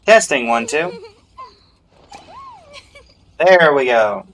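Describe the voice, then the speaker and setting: A man's voice: mid-range voice, animated, drawn-out words, fairly bright tone, slight background noise, low-quality recording. A man giving casual live game stream commentary, often muttering to himself as he goes. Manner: muttering to himself while troubleshooting. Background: game music.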